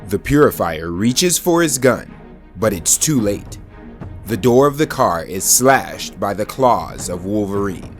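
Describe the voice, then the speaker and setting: A man playing the steady narrator of an audio drama, reading scripted scene descriptions. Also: lowish voice, unhurried, soft background music. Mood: descriptive.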